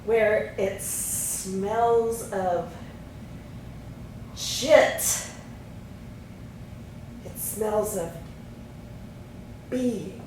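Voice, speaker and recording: A woman acting an anguished ranter in an avant-garde stage monologue, drawing out words and swinging from hushed to forceful. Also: on the high side. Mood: scornful. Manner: drawn-out, dramatic, swinging between hushed and forceful. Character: anguished female ranter against human existence. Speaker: stage actor. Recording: echoey theatre, distant mic, faint noise.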